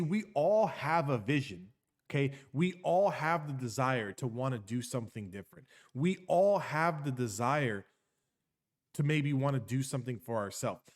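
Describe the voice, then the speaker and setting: A man giving an unscripted motivational monologue. He talks emphatically, repeats phrases for effect, tags lines with "okay?" and swears casually. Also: mid-range voice. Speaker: motivational speaker.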